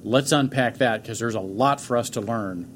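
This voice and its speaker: Enthusiastic male podcast host, mid-pitched, faint hiss in the room. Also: moderate pace. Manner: upbeat, encouraging interviewer. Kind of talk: podcast interview. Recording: faint background noise.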